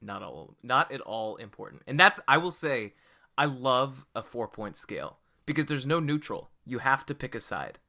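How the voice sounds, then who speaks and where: A man's voice: medium pitch, sing-song, moderate pace, creaky, sharp tone. A man hosting a podcast in casual conversation, explaining things in a conversational way. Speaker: podcast host.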